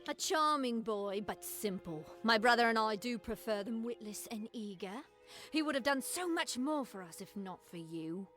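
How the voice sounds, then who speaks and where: A scornful outlaw swindler, a villain in a fantasy video game. Her voice sits fairly high, smug and mocking with cool menace. Music runs underneath. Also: expressive, normal speed, fairly bright tone. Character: sly, scornful female con artist and outlaw.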